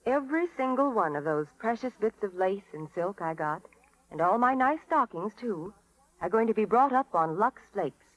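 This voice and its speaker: A perky woman plays spokeswoman in a vintage 1930s radio commercial. Her medium-pitched voice moves in lively swings as she delivers scripted, emphatic banter. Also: moderate pace, rough recording.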